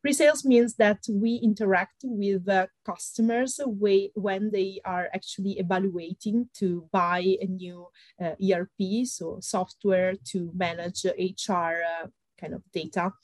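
A woman in her thirties with an Italian accent, answering interview questions thoughtfully with frequent pauses. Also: mid-range voice, fairly even intonation, a little mellow, dry recording, rough recording. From Italy. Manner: thoughtful, many "uh" pauses, light laughs. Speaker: guest, pre-sales consultant.

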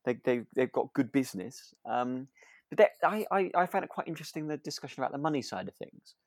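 Male podcast co-host, casual and low-key, hesitant self-correcting talker, dry close recording. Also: animated, quick pace, darkish tone, no room sound. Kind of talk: casual chat about a radio drama.

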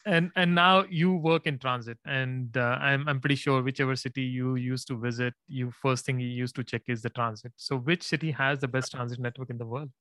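A man's voice: medium pitch, fairly even intonation, a little fast, hardly any pauses, pushed and bright, no room sound. A man hosting an interview in a friendly way, often repeating words and using non-native phrasing. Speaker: interview host. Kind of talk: interview conversation.

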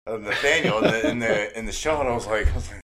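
A man hosting an interview in casual chat, gushing like an excited fan, slangy and often repeating himself. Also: lowish voice, fast talker, crowd noise. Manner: gushing, effusive fan enthusiasm. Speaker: podcast host interviewing a guest.

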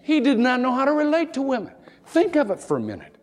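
A pastor preaching a sermon, a man with a high voice that swings up and down a lot. He tells his stories in a folksy, humorous way and slips into little acted-out voices along the way. The sound carries a long reverb from a large hall. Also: wildly swooping pitch, fast talker.

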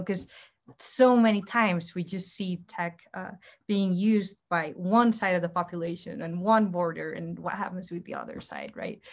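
Low-pitched woman from Costa Rica, native Spanish speaker. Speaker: panelist, startup co-founder. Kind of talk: panel discussion, personal account.

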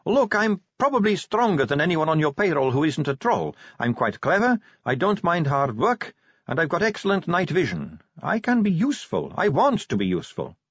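A man's voice: fairly high pitch, sing-song, moderate pace, a bit bright, breathy edge, dry recording, dull audio, pitched above the performer's own voice, exaggerated voice. A man voicing a young, boyish female vampire who is polite, earnest and forthright, in an audiobook story. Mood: earnest, pleading.

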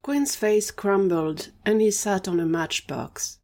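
Woman narrating an audio drama, medium pitch. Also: animated, slow pace, darkish tone. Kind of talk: scripted story narration.

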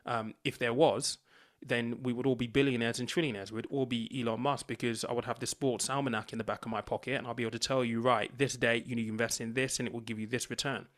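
Guest man, UK-based, earnest explainer, acts out dialogue, mid pitch. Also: level tone, fast, fairly bright tone. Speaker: financial coach, guest. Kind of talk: conversational storytelling.

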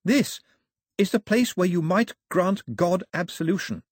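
A man's voice: high voice, unhurried, slightly rough, dry recording, clean studio sound, pitched above the performer's own voice. A man reading aloud and voicing a headstrong, fiery young woman who speaks passionately and defiantly.

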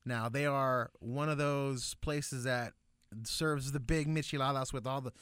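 A man's voice: mid-range voice, steady tone, measured pace, a bit bright, clean tone, dry recording. A man co-hosting a casual food chat, talking in a laid-back, slangy way. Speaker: podcast co-host.

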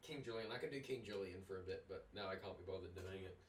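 A man's voice: low voice, fast talker. A young man in a laid-back podcast chat, steering the topics. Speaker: podcast co-host. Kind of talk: casual chat.

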